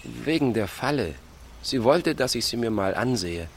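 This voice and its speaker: A man's voice: mid-range voice, lively intonation, normal speed, a little hiss or hum, natural voice. An actor playing a teasing, insistent village man of thirty in a radio drama, pushily friendly. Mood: sly, amused. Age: 30s.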